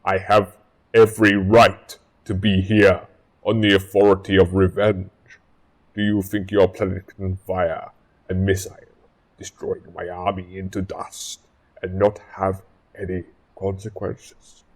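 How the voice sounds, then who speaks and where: Menacing alien warrior general, low flat voice, pompous n vengeful, audio drama. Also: male voice, measured pace, poor audio. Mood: vengeful, proud. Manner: pompous, declamatory, threatening.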